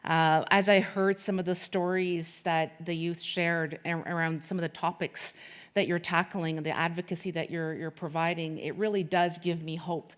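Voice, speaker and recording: Earnest woman speaker, lowish voice. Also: level tone, moderate pace, clean tone. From Afghanistan. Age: late 30s. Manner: earnest, with many restarts and fillers. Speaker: corporate sponsor speaker. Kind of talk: speech at an event.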